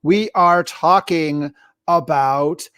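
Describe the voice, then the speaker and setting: A man's voice: a little high, extremely slow, a bit bright, clear, resonant tone, no room sound, clean studio sound. A man hosting a podcast in a casual style, partly reading from notes. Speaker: podcast host.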